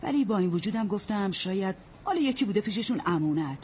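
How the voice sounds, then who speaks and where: A woman's voice: medium pitch, lively intonation, a little mellow, lightly acted. An actress playing a blunt, motherly older neighbor woman who talks in folksy sayings and bosses the young women around. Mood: grave. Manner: folksy, proverb-quoting, bossy. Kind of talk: radio drama acting. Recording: noisy radio-play recording.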